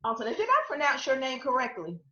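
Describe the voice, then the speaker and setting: A woman's voice: high-pitched, huge pitch swings, fast talker. Woman host on a dull, roomy call. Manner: warm-up chatter, affirming with short interjections. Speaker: podcast host, author. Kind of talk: casual interview over video call. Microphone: video call.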